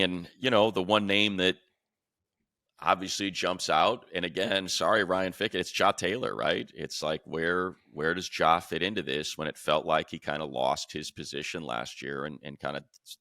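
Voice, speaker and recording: A man's voice: extremely low pitch, vocal fry, sharp tone, no echo, clean studio sound. A man hosting casual sports talk in a conversational way, thinking aloud and restarting phrases as he goes. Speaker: sports show host.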